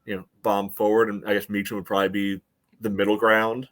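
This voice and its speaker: An American man with a fairly low voice works through sports analysis as a podcast co-host. He speaks casually, in a measured, conversational manner. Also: little pitch movement, fairly bright tone. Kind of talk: casual soccer match analysis.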